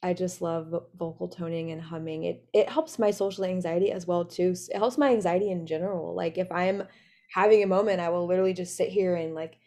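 A woman's voice: on the low side, steady tone, brisk, mellow. A woman hosting a podcast, chatting casually with loose "like" fillers. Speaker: podcast host. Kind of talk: casual interview chat.

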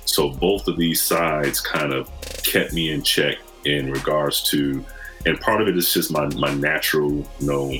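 A man's voice: very deep voice, fairly even intonation, measured pace, a bit bright, faint music behind. A man in his forties from Michigan, a podcast guest telling his story in an earnest, reflective way. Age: 40s. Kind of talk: casual conversation.

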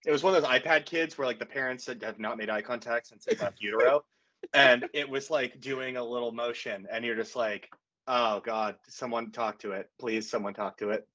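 A thirty-year-old man with a husky voice trades crude, self-mocking jokes as a podcast co-host. His humor stays dry, and his pitch sits in the middle range. The audio sounds dull and lo-fi. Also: fairly even intonation, a little fast, sharp tone. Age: 30s. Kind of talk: casual banter.

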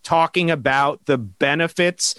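A man's voice: fairly high pitch, a bit bright, smooth, no room sound. A man co-hosting a podcast, rambling and joking in casual chat, stretching words out for comic emphasis. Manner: playful, rambling, drawn-out jokey emphasis. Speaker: podcast co-host.

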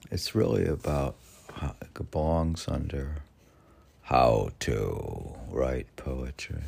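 An older man reading poetry informally, with halting, musing asides in which he asks and answers himself. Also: a bass voice, gravelly, dark tone, husky. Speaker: poetry reader and podcast host.

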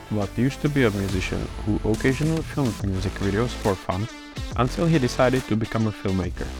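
A man hosting a podcast, reading a scripted introduction and then asking curious, friendly interview questions. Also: on the low side. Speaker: podcast host.

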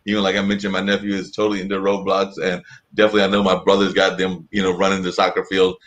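A man's voice: low voice, completely flat delivery, very fast, a bit bright. A man hosting a conversational interview, asking long, rambling, winding questions. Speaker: interview host.

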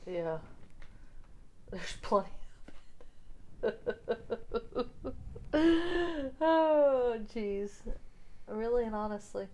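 A middle-aged woman co-hosting a casual podcast chat, animated and loud, laughing often. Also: fairly high pitch, extremely slow, pauses often. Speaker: podcast co-host.

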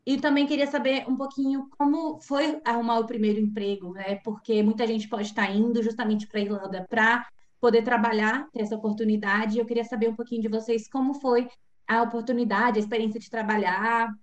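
Podcast host, young woman, Brazilian accent, higher voice, informal interviewing, slightly off-mic. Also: steady tone, a little fast, far from the mic. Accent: Brazilian Portuguese. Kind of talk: casual interviewing.

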